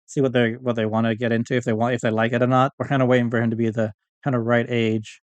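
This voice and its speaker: Fast-talking man, casual and chatty. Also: mid-range voice, flat delivery, no room sound. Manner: rambling, informal, chatty. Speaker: podcast guest, audio fiction writer. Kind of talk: casual conversational interview.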